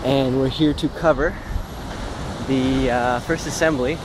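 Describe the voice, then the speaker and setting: A man's voice: mid-range voice, measured pace. Laid back guy doing a street report, mid voice, traffic noise around. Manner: relaxed and informal, with fillers. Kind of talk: casual on-location reporting. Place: outdoors in a city park.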